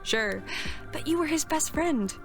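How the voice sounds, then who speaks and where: A woman's voice: sits high, lively intonation, measured pace. A woman playing a brash, resentful eighteen-year-old knight in a fantasy audio drama, talking bluntly and sarcastically. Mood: bitter, resentful. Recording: music behind the voice.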